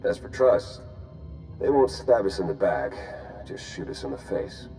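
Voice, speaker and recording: Male covert agent: deep, relaxed, dry humour, confident game dialogue with faint music behind. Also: unhurried, poor audio. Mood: cool, wry. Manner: dry, casual. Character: wry male spy, cool and confident. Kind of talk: scripted game cutscene dialogue.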